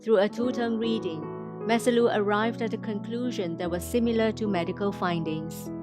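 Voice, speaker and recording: A woman from Indonesia reads a scripted inspirational testimony in a formal, measured way. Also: mid-range voice, over music. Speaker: testimony speaker.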